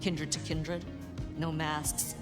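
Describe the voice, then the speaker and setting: Wry, low-voiced vampire gang leader. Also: female voice, level tone, slow. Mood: earnest, urgent. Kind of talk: video game voice acting. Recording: game music underneath.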